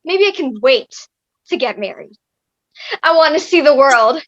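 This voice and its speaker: A woman's voice: high-pitched, expressive, measured pace, fairly bright tone, highs cut off. A young woman playing a chatty, independent-minded thirteen-year-old girl in a comic stage reading. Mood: wistful, frank.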